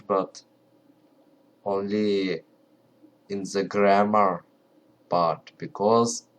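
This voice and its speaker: Slow, hesitant Ukrainian-accented man. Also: sits low, a little hiss or hum, rough recording. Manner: hesitant, searching for words, quiet and careful. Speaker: video creator. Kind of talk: casual talk to camera.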